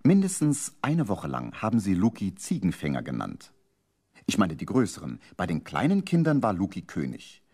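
An actor as the storyteller of a children's radio play, narrating with playful suspense in a husky voice. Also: male voice, sits low, huge pitch swings, normal speed, a little mellow, exaggerated voice. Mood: suspenseful, playful.

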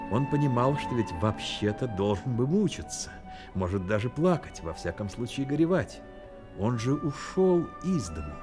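A male narrator in a Soviet radio play. He tells the story in an earnest, troubled and sympathetic way, with some music around the voice. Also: mid-range voice. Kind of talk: dramatic literary narration, Soviet radio theatre.

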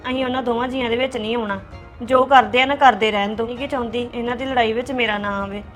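A woman's voice: on the high side, fairly even intonation, fast, soft background music, lightly acted. An actress playing a young wife who complains tearfully that she is blamed for nothing. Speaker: young wife in a family quarrel. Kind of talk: family drama acting.

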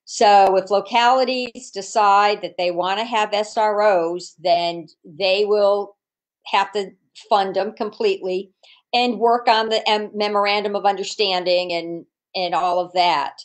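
Measured middle-aged woman legislator on a call. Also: medium pitch, fairly even intonation. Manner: measured, explanatory. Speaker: state legislator. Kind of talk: explaining policy on a video call. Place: living room at home, indoors. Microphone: video call webcam.

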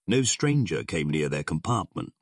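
A man with a husky voice reading scripted narration of a pulp adventure story as an audiobook narrator. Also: low-pitched, very animated, moderate pace, no room sound, clean studio sound.

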